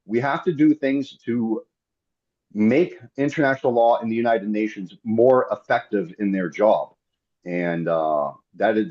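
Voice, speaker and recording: Husky, low-voiced man, emphatic. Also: darkish tone. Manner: earnest, emphatic, repetitive. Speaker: webinar panel speaker. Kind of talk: informal political remarks. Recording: dull lo-fi online call audio.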